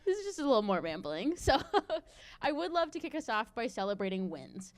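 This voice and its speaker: A woman's voice: a little high, big pitch swings, a little fast. A woman hosting a live audience Q&A, bubbly, chatty and self-deprecating. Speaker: event host.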